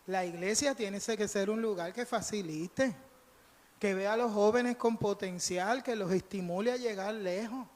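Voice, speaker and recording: A man's voice: high voice, unhurried, darkish tone. A Puerto Rican man preaching as a pastor in a conversational storytelling style, emphatic, repeating phrases and acting out bits of dialogue. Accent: Puerto Rican Spanish. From Puerto Rico. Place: church sanctuary during a live service. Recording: reverberant hall, live church service.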